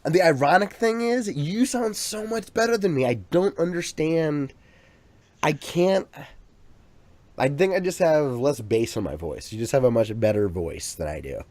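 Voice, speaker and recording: A man's voice: fairly high pitch, lively intonation, normal speed, a little mellow, no room sound. A man co-hosting a podcast in casual chat, rambling along with plenty of restarts and fillers. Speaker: podcast co-host. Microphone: USB microphone.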